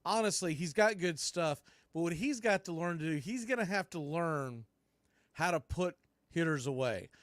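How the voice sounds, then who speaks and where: A man's voice: on the high side, lively intonation, moderate pace, dry recording. A man hosting a baseball radio show, giving play-by-play and fan commentary on the game. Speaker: baseball play-by-play announcer and call-in show host.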